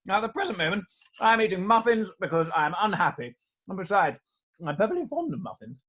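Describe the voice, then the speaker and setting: Seeking a male actor for a glib dandy in period stage comedy, high and very animated in pitch. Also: brisk, exaggerated voice. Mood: airy, flippant. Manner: airy, glib, mock-serious. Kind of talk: rehearsed reading of a Victorian stage comedy.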